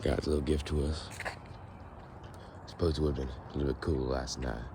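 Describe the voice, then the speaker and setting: A hoarse young man with a gravelly voice talks at the very bottom of his range. He rambles casually to a handheld phone as he walks outside. Passing traffic and outdoor noise sit clearly behind him. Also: a bass voice, flat delivery, normal speed. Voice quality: raspy. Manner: rambling, musing to camera. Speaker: vlogger. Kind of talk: casual walking vlog. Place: outdoors, walking on a foggy street.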